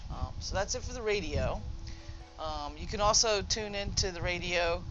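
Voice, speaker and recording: A man's voice: high-pitched, normal speed, fairly bright tone, lo-fi sound. A man giving a casual, unscripted game tutorial in an informal, explanatory way, correcting himself as he goes. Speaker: gamer presenting a demonstration. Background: video game music.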